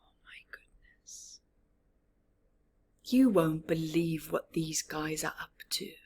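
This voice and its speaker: A woman reading a picture book aloud to young children, expressively, drawing out words and dropping into hushed tones. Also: low voice. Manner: drawn-out words, drops into hushed whispers. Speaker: children's storyteller.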